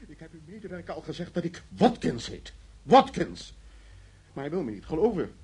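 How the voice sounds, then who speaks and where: A man's voice: a little high, sing-song, normal speed, a little mellow. An actor playing a shaken, indignant innocent man held by mistake in a Dutch radio drama, stammering and repeating himself in agitation. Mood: bewildered, rattled.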